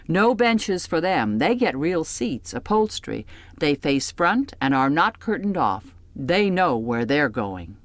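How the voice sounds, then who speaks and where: Low-voiced, unhurried woman narrating an audiobook. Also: no room sound. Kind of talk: literary first-person scripted narration.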